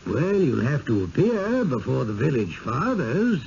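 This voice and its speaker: An actor playing an evasive, smoothly persuasive small-town mayor in a 1970s radio drama, courtly and effusive. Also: male voice, mid-range voice, big pitch swings, measured pace, a little mellow. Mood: genial, evasive. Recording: muffled, hissy 1970s radio broadcast.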